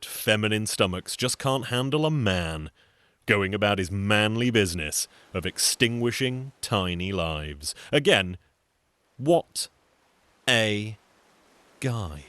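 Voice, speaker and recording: Low-voiced, animated male storyteller, wry. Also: unhurried, no echo. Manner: wry, sardonic, conversational asides. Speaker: storyteller, solo show performer. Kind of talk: comic theatrical folk-tale storytelling.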